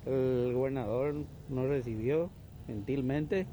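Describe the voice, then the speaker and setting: A man's voice: mid-range voice, takes it slowly, dark tone, a little hiss or hum. A man speaking earnestly in a street interview outside a government office. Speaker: chapel community member. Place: outdoors leaving a government office.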